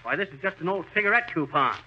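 Cocky, gullible handyman played by a man, slangy and animated, high male voice, old radio comedy. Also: fast, natural voice. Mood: cheerfully gullible. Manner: folksy, slangy, blustering. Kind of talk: 1930s radio comedy acting. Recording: very noisy, dull 1930s radio recording.

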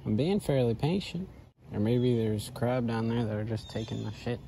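A man's voice: mid-range voice, moderate pace, gravelly, noisy background. A young man vlogging while he fishes from a backyard dock, chatting loosely with lots of fillers, recorded on a head-mounted camera. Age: young adult. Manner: rambling, laid-back, loose with fillers. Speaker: fishing vlogger. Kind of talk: casual running commentary while fishing. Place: outdoors on a backyard dock by a canal.

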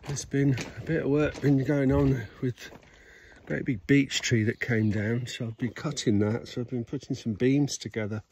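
A man giving a relaxed, rambling outdoor tour of his smallholding, filmed on a handheld phone. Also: medium pitch, moderate pace, slight background noise. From Scotland. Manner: relaxed, rambling, with restarts and fillers. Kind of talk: casual walking tour chat. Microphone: handheld phone camera.

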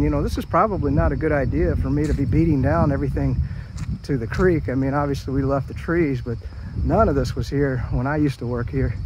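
A man's voice: on the high side, noisy background. A middle-aged man talking casually while he walks a city park trail and films on a handheld phone, folksy and rambling with many fillers. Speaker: park walk-through vlogger. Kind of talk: casual walking commentary. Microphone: handheld phone camera.

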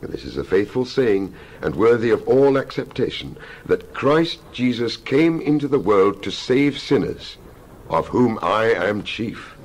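A man giving a dramatized scripture reading as a Bible narrator, solemn and emphatic, with measured oratorical phrasing. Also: lowish voice, very animated, poor audio. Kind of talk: dramatized scripture reading, King James English.

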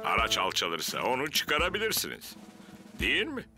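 Blustering, swooping old fire officer. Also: male voice, medium pitch, wildly swooping pitch, normal speed. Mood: proud. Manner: pompous, emphatic. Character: proud, blustering elderly male fire officer. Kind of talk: animated cartoon dub acting. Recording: music under the dialogue.

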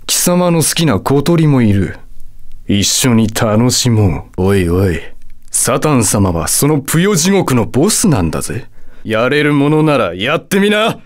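A man's voice: medium pitch, big pitch swings, unhurried. A man voicing a haughty, hot-tempered, lovestruck demon lord in a video game, with a theatrical, condescending delivery. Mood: smug, threatening. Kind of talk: Japanese video game character voice acting.

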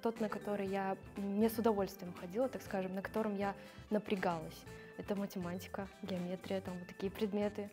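A woman's voice: mid-range voice, level tone, brisk, over music. A woman in her twenties answering interview questions thoughtfully and with some hesitation, wearing a lapel mic. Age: 20s. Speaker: show participant. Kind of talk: casual interview. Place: studio with grey backdrop.

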